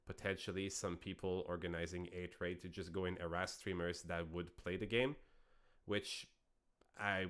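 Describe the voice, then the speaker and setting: Deep-voiced French Canadian man hosting. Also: little pitch movement, normal speed, fairly bright tone. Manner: rambling, frequent restarts and fillers. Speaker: debate show host. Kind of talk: casual online debate hosting.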